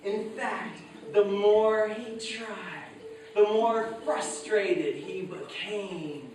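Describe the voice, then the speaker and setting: A man's voice: high voice, extremely slow, a little mellow, soft background music. A man telling stories live to listeners in an informal, folksy style. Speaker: storyteller and musician. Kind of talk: live folk-tale storytelling, informal introduction. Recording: very echoey, distant microphone.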